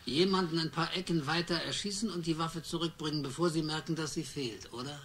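A man's voice: fairly high pitch, brisk, a bit bright, a little hiss or hum, close to an everyday voice. An actor playing a man probing a shopkeeper with insistent questions in a German radio play. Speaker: investigating protagonist.

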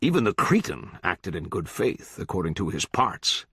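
A man voicing an eloquent, menacing judge in an audiobook, coaxing and grandiose, with deliberate, archaic phrasing. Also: low-pitched, sing-song, fairly bright tone, slightly rough, no echo, studio quality, exaggerated voice. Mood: grave preaching. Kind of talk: literary audiobook character voice.

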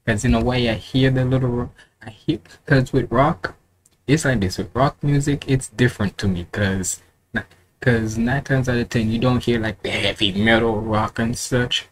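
A man's voice: medium pitch, fairly even intonation, normal speed. A man in his twenties giving casual reaction commentary in a rambling, colloquial way, often repeating himself. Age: 20s. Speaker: reaction video host. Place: indoor room at home with curtains. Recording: noticeable background noise, home recording.

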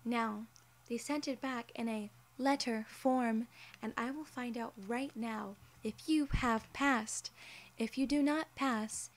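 A woman in her twenties performing an ASMR roleplay in a measured, deliberate and formally worded way, close to the mic. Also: a little high, fairly even intonation. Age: 20s. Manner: measured, deliberate, formal phrasing as an alien gatekeeper. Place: small indoor room, seated in an armchair.

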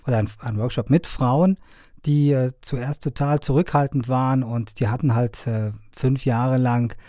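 A middle-aged man from Afghanistan speaks in an unhurried, conversational way. He often pauses and hesitates with fillers. His tone is mellow and his voice sounds dry and close, as if recorded in a studio. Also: medium pitch, no room sound. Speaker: sound engineer and media trainer. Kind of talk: conversational interview answers.

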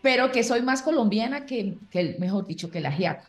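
A woman's voice: on the high side, expressive, a little fast. A middle-aged woman from the Dominican Republic hosting an interview over a video call, speaking with effusive praise. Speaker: interviewer host. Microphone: webcam on video call.

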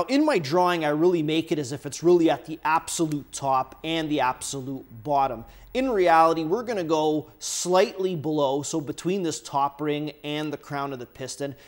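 A man hosting a workshop how-to, casually explaining engine measurements while handling parts at his bench. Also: on the high side. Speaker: workshop host. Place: indoor workshop bench, overhead camera.

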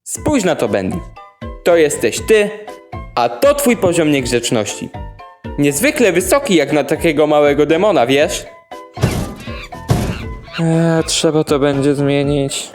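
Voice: medium pitch, big pitch swings, a little slow, pauses often, a little mellow, faint music behind. Someone playing an emphatic, exasperated scolder. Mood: exasperated scolding. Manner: lecturing, emphatic. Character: stern, exasperated adult scolding a small demon. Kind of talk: Polish fan dub of cartoon game shorts.

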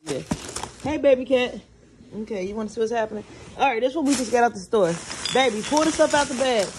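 A woman's voice: fairly high pitch, quick pace. A woman chatting casually to viewers in a vlog, talking loosely with slang and addressing them as y'all. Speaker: vlogger. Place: home and car. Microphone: phone held in hand. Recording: noisy phone recording.

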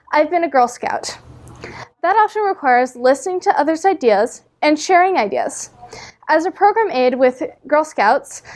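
High-voiced American teenage girl. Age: teenager, about 14. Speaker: student election candidate. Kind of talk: prepared campaign speech to camera. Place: indoor studio, seated.

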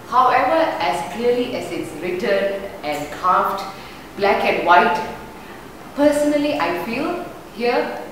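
A young woman gives her opinion in a mid-pitched voice. The room is very echoey and music plays behind her. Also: recorded from a distance. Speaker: lawyer interviewee. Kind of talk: giving opinion to camera. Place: indoor room, plain wall.

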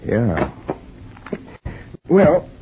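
A man's voice: mid-range voice, wildly swooping pitch, drawn-out words, heavily acted. An actor playing a tired, easygoing man, a detective's colleague, in casual 1950s radio drama dialogue. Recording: dull, noisy 1950s radio recording.

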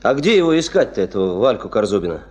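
An actor playing an eager, businesslike male expedition leader in a 1970s radio drama, brisk and hearty. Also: lowish voice, big pitch swings, darkish tone, breathy edge.

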